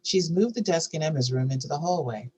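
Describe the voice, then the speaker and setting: A woman with a husky voice reads scripted narration and stage directions for a drama table read. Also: low-pitched, animated, a little fast, darkish tone, rough recording.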